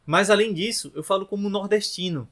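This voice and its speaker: A man in his thirties with a northeastern Brazilian accent, speaking in a fairly high voice. He teaches in a loose, conversational way and checks in with his listeners at the end of many phrases. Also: brisk, a bit bright. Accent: Brazilian Portuguese, from Rio Grande do Norte. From Natal, northeastern Brazil. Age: 30s. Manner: chatty, ends phrases with tag questions. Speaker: language teacher. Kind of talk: informal lesson to camera.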